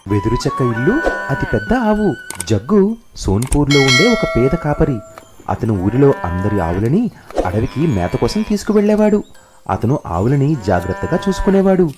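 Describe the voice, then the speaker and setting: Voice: mid-range voice, very animated, moderate pace, over music. A storyteller giving scripted narration for a children's cartoon tale.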